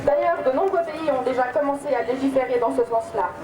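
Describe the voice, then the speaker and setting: Young woman, very high voice, prepared campaign speech, echoey, recorded from a distance. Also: fairly quick. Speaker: youth political campaign speaker. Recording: echoey, distant mic, crowd noise.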